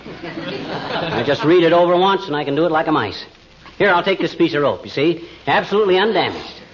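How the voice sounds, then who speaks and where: A man's voice: a little high, expressive, fairly quick, a crowd in the background, close to an everyday voice. An actor playing a boastful, bumbling husband in a 1940s radio comedy, blustery and folksy, chuckling at his own jokes. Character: boastful bumbling middle-aged husband, tall-tale teller. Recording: dull old radio recording, studio audience laughter.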